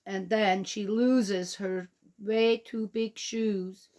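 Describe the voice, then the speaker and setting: A woman from Switzerland talks slowly and gently to little ones, the way someone reads a bedtime story. Her voice sits at an ordinary middle pitch, unhurried and easy, with pauses to chat between pages. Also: fairly even intonation. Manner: gentle, chatty, talks directly to young listeners. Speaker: children's storyteller. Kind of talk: reading a picture book to children.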